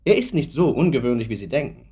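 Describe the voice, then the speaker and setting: An actor plays a male lawyer in a radio drama, sober and skeptical. His pitch is average but moves widely as he explains things in a formal, patronizing, matter-of-fact manner. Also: sing-song, quick pace, dark tone, lightly acted. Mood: probing, dry. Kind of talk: German radio play acting.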